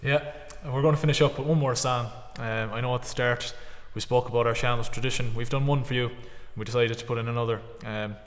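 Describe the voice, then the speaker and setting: A young man from Connemara speaks with a Connemara Irish accent, Irish being his first language. He talks earnestly and reflectively, with ums and self-corrections, in a flat, even tone. A long hall reverb trails behind his voice. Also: mid-range voice, fast, few pauses. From Conamara, Ireland. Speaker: traditional musician. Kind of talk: on-stage talk introducing songs.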